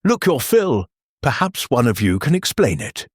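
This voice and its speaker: A male narrator plays a worn-out titled gentleman for an audiobook. He is harsh and weary, husky, with strongly varied pitch. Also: very animated, moderate pace, no echo, clean studio sound. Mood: strained. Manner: curt, weary, snapping.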